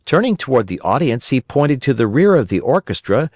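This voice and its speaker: Animated male children's storybook narrator. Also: medium pitch, fairly quick, dry recording, studio quality. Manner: gentle, expressive storytelling. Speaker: audiobook narrator.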